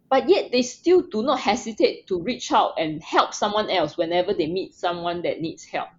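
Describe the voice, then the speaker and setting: A woman's voice: lowish voice, a little mellow, muffled recording. A woman from Singapore, a podcast guest talking earnestly with many fillers and restarts. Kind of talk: casual interview.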